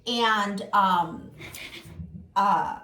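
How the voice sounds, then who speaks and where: A woman's voice: medium pitch, drawn-out words, pushed and bright. A woman hosting a casual talk show, bubbly and chatty, laughing often. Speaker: host. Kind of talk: casual chat show interview. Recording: echoey room.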